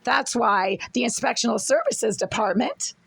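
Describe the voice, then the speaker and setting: A woman with a hoarse, strained voice, filming a long complaint in her apartment kitchen on her phone, going over her grievances in detail and repeating herself. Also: a little high, animated, moderate pace, a bit bright. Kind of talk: complaint video filmed at home.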